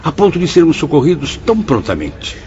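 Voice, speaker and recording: A man's voice: a little high, huge pitch swings, fairly quick, a little mellow, a little hiss or hum. An actor playing a humble, remorseful male spirit who questions earnestly and reverently in a radio drama. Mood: earnest, curious. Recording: dull radio drama, music underneath.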